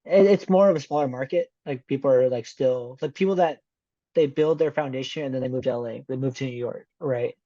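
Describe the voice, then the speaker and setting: A man hosting a podcast, asking curious questions in a relaxed, casual way. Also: mid-range voice, a little fast, no room sound. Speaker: podcast host. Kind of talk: casual interview chat. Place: San Diego. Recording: dull dry lo-fi sound.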